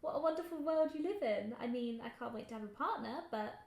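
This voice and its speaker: A woman's voice: a little high, expressive, fast talker, gravelly, darkish tone. A young woman co-hosting a podcast, chatting in a gushing, laugh-prone way. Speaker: podcast co-host. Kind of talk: casual chat. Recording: echoey room.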